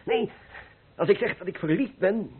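An actor playing a cheerful, carefree older painter who philosophizes persuasively in a radio play. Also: male voice, high voice, lively intonation, normal speed, a little hiss or hum. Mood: playful, passionate. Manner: exuberant, persuasive, rhetorical. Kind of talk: Dutch radio play acting. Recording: muffled old radio play recording.

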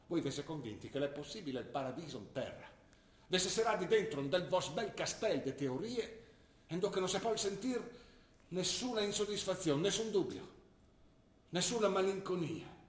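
A man's voice: high voice, lively intonation, moderate pace. An older man playing an ill, worried father who lectures and pleads with his daughter, in an earnest manner. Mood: lecturing. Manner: earnest, lecturing, rising to anguished pleas. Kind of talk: stage drama acting. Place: theatre stage. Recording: roomy stage recording.

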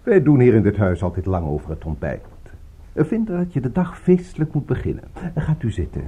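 A genteel, evasive gentleman host in a radio play. His voice is soft-edged and slightly husky, moving freely up and down through polite, urbane small talk. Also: medium pitch, very animated, a little fast. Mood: affable. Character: smooth, genteel male host, courteous but evasive suspect. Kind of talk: radio drama dialogue.

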